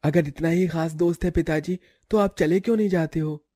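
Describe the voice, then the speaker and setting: A man voicing a respectful, concerned grown son in a cartoon drama, gentle and earnest. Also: on the high side, brisk, no echo, lightly acted.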